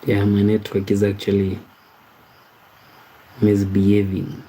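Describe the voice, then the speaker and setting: A man from Kenya hosting a casual livestream, reading viewer comments aloud and answering them in a halting way, with frequent repeats and restarts. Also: lowish voice, completely flat delivery, warm tone, slightly rough, low-quality recording. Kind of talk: casual live-stream chat.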